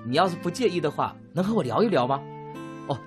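A man's voice: fairly high pitch, animated, quick pace, soft background music, natural voice. A man acting a polite, good-natured noodle-shop owner in his thirties, with a Taiwanese Mandarin accent and a hesitant manner, in a radio drama. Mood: friendly, earnest. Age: 30s. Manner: hesitant, polite, soft particles.

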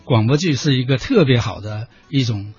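Middle-aged male pundit, slightly raspy, conversational and explanatory, on a dull-sounding radio recording. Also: medium pitch, expressive, moderate pace, darkish tone, no echo, faint music behind. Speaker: panel guest. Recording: dull, muffled audio.

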